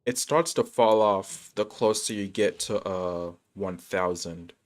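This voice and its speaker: A man's voice: deep voice, slow pace. A man chatting casually on a gaming livestream in laid-back, slangy bro talk. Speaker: game livestreamer. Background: game music.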